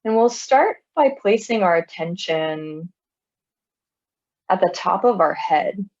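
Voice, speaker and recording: A woman leading a guided body scan meditation in a calm, soothing manner, leaving long pauses between phrases. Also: mid-range voice, dull audio. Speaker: meditation teacher.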